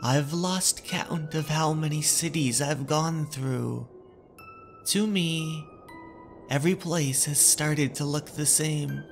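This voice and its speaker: Slow-paced male horror story narrator. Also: on the high side, vocal fry, faint music behind. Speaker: narrator and channel host. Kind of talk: scripted horror story narration and channel sign-off.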